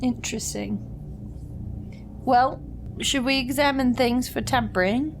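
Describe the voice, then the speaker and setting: A woman's voice: fairly high pitch, measured pace, background noise. A woman chatting casually as a player in a tabletop game, hesitant and questioning.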